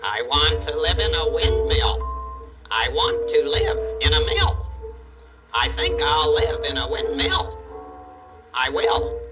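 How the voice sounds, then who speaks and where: A man's voice: on the high side, slow, long pauses, recorded from a distance, over music, low-quality recording. A man voicing an eager, childlike donkey puppet who talks emphatically in a children's show. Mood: dreamy, eager. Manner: excitable, emphatic, repeats himself.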